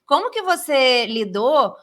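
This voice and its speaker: A woman's voice: sits high, sing-song, measured pace, a bit bright. A woman interviewing a playwright, reflecting casually before asking her next question. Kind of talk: conversational interview.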